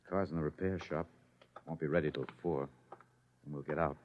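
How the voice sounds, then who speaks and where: A man's voice: rumbling low, steady tone, a little fast. An American man playing a protective, determined fugitive husband in an old-time radio drama, speaking in earnest, clipped phrases. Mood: grim, reassuring. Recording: muffled, noisy old radio recording.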